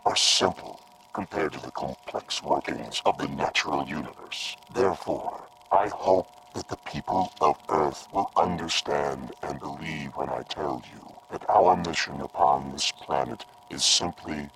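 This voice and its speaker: A radio-drama alien dignitary with a remarkably low voice. He is solemn, courteous and measured, speaking formally like a philosopher-diplomat. Clear background noise sits behind him. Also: fairly bright tone, exaggerated voice. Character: tall, courteous, solemn male alien envoy. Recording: clearly noisy, with ambience behind.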